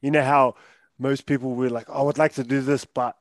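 A man hosting a casual interview, encouraging his guest with quick interjections. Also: mid-range voice, little pitch movement, no room sound.

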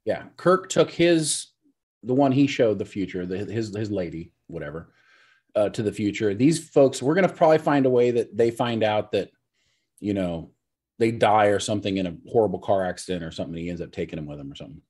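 A man co-hosting a podcast, explaining his points in a measured, rambling way in casual chat. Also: fairly low pitch, expressive, a little mellow, slightly rough. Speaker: podcast co-host.

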